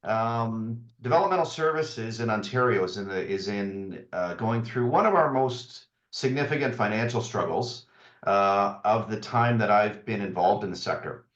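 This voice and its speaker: A man's voice: lowish voice, animated, moderate pace, fairly bright tone. A man, the CEO, giving an informal welcome address with frequent fillers and restarts. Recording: roomy, dull lo-fi sound.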